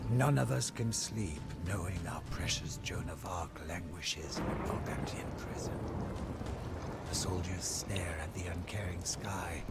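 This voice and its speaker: A man playing a battle-weary French soldier who narrates a video game's storybook journal in a solemn, theatrical, measured way. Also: sits low. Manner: solemn, theatrical, measured delivery with hushed phrase endings.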